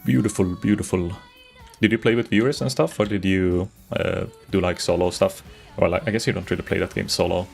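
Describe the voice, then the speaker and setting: A man's voice: sits low, fairly even intonation, a little fast, vocal fry, faint music behind. A Swedish man streaming a game, chatting casually and rambling to viewers. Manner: rambling, chatty, greets viewers, frequent restarts. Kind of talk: casual live-stream chat while gaming.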